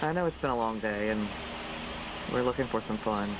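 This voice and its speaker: A performer playing a reluctant, skeptical woman first officer in an improvised comedy sketch, deadpan and halting. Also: mid-range voice, background noise, pitched below the performer's own voice, lightly acted.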